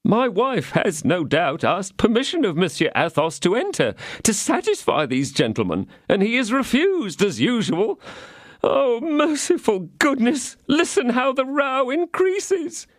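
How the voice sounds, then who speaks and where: A fretful innkeeper whines and pleads, voiced by a man. His voice sits high and swoops up anxiously, and the recording is dry and close. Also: big pitch swings, measured pace, no echo, heavily acted. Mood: fretful, pleading. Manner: whining, pleading, high and strained. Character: anxious wailing male innkeeper, fussy and fretful.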